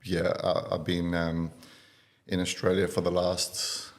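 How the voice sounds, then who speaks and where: A man from Iraq in his thirties, a guest on a podcast, telling his life story in a relaxed way with many fillers. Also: deep voice, slow pace. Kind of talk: casual interview talk.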